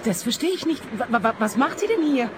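A woman playing a bewildered detective's client in a radio play, halting and flustered, stumbling over her words. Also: fairly high pitch, sing-song. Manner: halting, stammering, puzzled. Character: bewildered, flustered grown daughter hiring a detective. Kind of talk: German-language radio play dialogue. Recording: music behind the voice.

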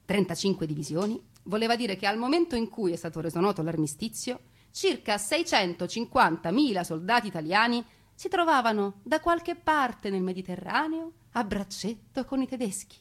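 A woman giving a theatrical scripted narration as a stage storyteller, with dramatic, deliberate phrasing. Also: medium pitch, animated.

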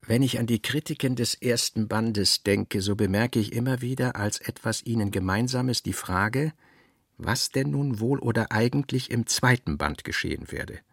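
Husky, reflective novelist. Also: male voice, medium pitch, normal speed, no echo, studio quality. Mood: analytical, wry. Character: reflective, self-doubting male novelist reading his own notes. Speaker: actor reading a novelist's notes and letters. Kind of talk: radio drama literary reading.

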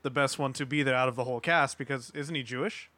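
A man's voice: medium pitch, quick pace, pushed and bright, no echo. A man talking casually as a panelist in a podcast discussion.

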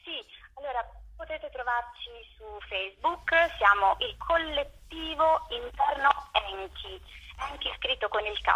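A woman interviewed over the phone as a theatre director, explaining her work in a thoughtful, halting way with drawn-out pauses. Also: high voice, lively intonation, sharp tone. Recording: muffled, band-limited phone line.

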